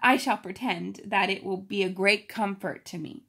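A woman's voice: medium pitch, normal speed, sharp tone, slightly rough. A woman voicing a brave, imaginative young servant girl in a storybook, with an earnest, resolute manner. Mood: earnest pretending.

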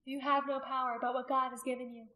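A woman's voice: high-pitched, flat delivery, fast talker, sharp tone, voice pushed up. A woman narrator voicing a devout condemned wife in an audiobook, earnest, grieving yet steadfast. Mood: quiet faith. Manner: earnest, pleading, prayerful.

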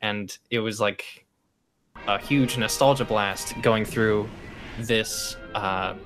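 A man's voice: on the low side, slow pace, a bit bright, faint music behind, low-quality recording. A young man reminiscing casually as a student actor in an interview. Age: young adult. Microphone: desk microphone.

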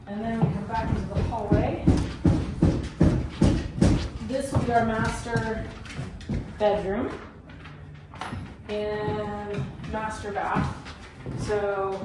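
A woman in her thirties giving a casual, explanatory walk-through tour as a homeowner, recorded at a distance inside a bare renovation site. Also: mid-range voice. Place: indoors in a bare wood-framed house under renovation. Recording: roomy echo, distant mic, loud site noise.

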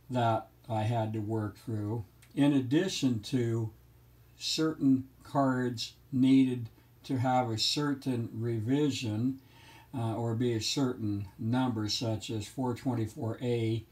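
A man's voice: medium pitch, darkish tone. A man narrating an explanatory demonstration in a methodical, matter-of-fact, technical manner. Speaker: hobbyist presenter.

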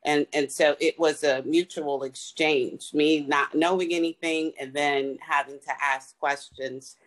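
A middle-aged woman speaking over a webcam video call. She explains her experience in a calm, reflective and measured manner, with occasional "um" and "uh". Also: deep voice, low-quality recording. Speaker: farmer panelist. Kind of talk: webinar panel answer.

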